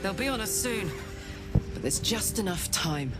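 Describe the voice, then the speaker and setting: Loud music runs under a woman acting as a weary, battle-hardened game warrior. Her mid-pitched voice is earnest and plain-spoken, and she talks in slow, halting phrases. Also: animated, close to an everyday voice. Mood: weary, resolute. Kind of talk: dramatic video game dialogue.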